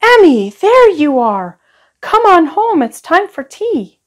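Storybook small boy, earnest, high and lilting, performed by a woman. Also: very animated, slow, heavily acted. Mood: relieved, urgent. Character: earnest little boy searching for his sister.

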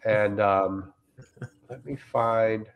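A man in casual comic chat and reading reviews aloud, rambling and deadpan, with some swearing, on a video call. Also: lowish voice, extremely slow, narrow, tinny audio, crowd noise. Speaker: comedian guest. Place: indoors on a group video call.

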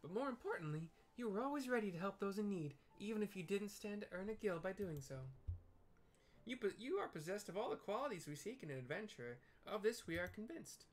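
A man's voice: high-pitched, sing-song, fairly quick, pitched above the performer's own voice. A man voicing an earnest, cheery young female adventurer recruiter in a fantasy game, with formal, eager phrasing. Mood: bright, eager.

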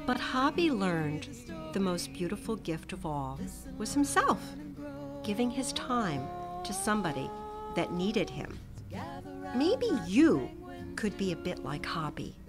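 Expressive woman reading a storybook over music. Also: medium pitch, a little slow, pauses often. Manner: gentle, expressive storytelling with soft whispered asides. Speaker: storytime reader. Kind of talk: reading a picture book aloud to children. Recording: music playing under the voice.

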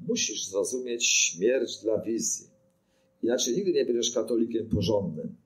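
Older priest telling stories, low voice. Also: male voice, animated, normal speed. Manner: anecdotal, addresses listeners directly, rhetorical questions. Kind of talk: informal teaching talk to a prayer group. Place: small studio in front of a brick wall. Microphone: handheld microphone.

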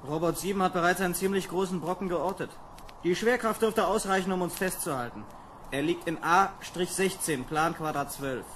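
A man's voice: high voice, moderate pace, fairly bright tone, slight background noise. A man playing an exasperated, sardonic space lieutenant who bosses his crew in an old children's radio play. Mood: businesslike. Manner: sardonic, bossy. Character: exasperated young space lieutenant commanding a landing boat.

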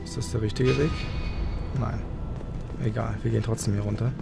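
A man's voice: on the low side, steady tone, a little fast, gravelly. A man giving casual commentary as host of a gameplay video. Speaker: let's play host. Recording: game music behind the voice. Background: video game music.

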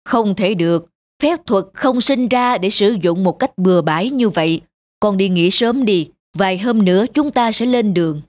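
An actor playing a gentle old male bear wizard mentor in a children's cartoon, speaking patiently and earnestly. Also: medium pitch, moderate pace, natural voice. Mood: firm, calm. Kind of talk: dubbed children's moral cartoon.

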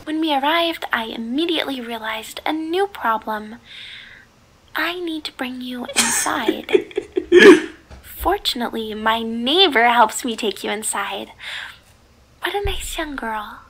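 A woman acts out a giggly yandere girl for an ASMR roleplay, with a very high, bright voice. She is sweetly menacing and obsessive, and she often sinks into breathy whispers. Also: animated, measured pace, a little hiss or hum, poor audio. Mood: giggly, pleased. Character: giggly, obsessive yandere girl holding her crush captive.

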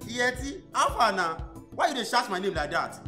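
A man's voice: very high voice, sing-song, moderate pace, bright, forceful tone, heavily acted. A young Nigerian man playing a brash, teasing student in a Pidgin radio drama. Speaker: actor. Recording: music under the voice.

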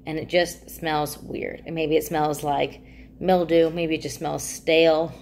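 A young woman with a notably low voice talks casually and enthusiastically to the camera. She chats with her hands, recorded from desk distance in a small indoor room, with a faint hiss under the voice. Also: level tone, unhurried, darkish tone. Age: young adult. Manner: chatty, enthusiastic, gestures while talking. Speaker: product reviewer. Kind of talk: casual talking-head product chat.

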